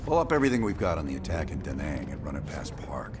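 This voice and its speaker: Low, commanding American intelligence team leader. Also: male voice, sing-song, fast. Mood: stern. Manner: commanding, clipped, serious. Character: stern, authoritative American CIA team leader, male. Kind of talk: video game cutscene acting. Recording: clear background noise, room ambience.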